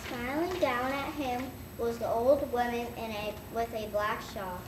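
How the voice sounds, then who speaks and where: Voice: mid-range voice, steady tone, measured pace, distant mic. A child of about eight or nine reading scripted story narration in a school readers' theater. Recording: echoey, noisy room from afar.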